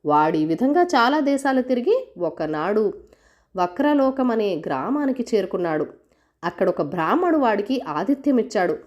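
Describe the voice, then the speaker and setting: A woman narrates a folk tale for children in a fairly high voice. Her intonation moves up and down in an animated way as she tells the scripted story. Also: normal speed, dull audio.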